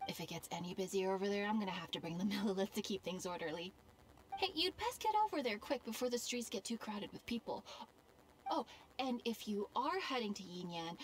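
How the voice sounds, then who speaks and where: A woman's voice: medium pitch, close to an everyday voice, low-quality recording. A woman voicing a modest, helpful female festival organizer in a video game, polite and easygoing.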